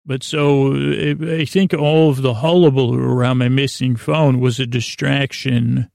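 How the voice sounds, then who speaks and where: A man with a creaky voice hosting a sleep podcast, rambling in a halting, meandering monologue with a self-deprecating, gently absurd manner. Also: mid-range voice, steady tone, no room sound, clean studio sound. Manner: meandering, halting, circling back on himself. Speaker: podcast host.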